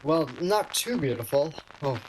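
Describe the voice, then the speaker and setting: A woman playing a prickly, nervous, lovestruck male shop clerk in a radio play, with a flustered, halting delivery. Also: sits low, lively intonation, slight background noise, low-quality recording. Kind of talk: virtual radio play acting.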